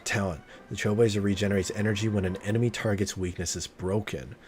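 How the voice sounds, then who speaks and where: Low-voiced, excitable male game streamer. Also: fast talker. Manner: excitable, slangy, swears freely. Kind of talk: casual live-stream gameplay commentary. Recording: game music behind the voice. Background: video game music and sounds.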